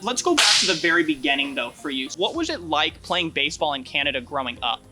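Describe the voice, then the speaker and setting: A man's voice: a little high, animated, fast talker, over music. A man hosting a sports interview show, asking questions in an upbeat manner and using "like" as filler.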